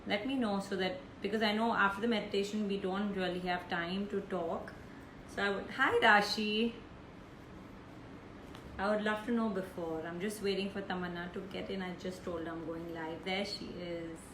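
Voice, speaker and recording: A woman's voice: mid-range voice, fairly even intonation, moderate pace. A woman hosting a live stream, chatting casually and effusively over a roomy, hissy call connection.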